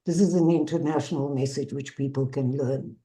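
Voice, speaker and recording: Older woman, South African accent, dark voice, earnest testimony, measured delivery. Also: extremely low pitch, steady tone. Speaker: bereaved mother and peace activist speaker. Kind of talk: personal testimony to an online audience.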